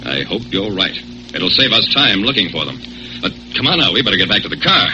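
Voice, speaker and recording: A muffled, noisy 1940s radio recording carries a commanding American aviator hero. He is brisk and level-headed, giving orders with authority, his voice moving freely in pitch. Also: sing-song, bright, forceful tone, heavily acted. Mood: stern, businesslike. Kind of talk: 1940s radio adventure acting.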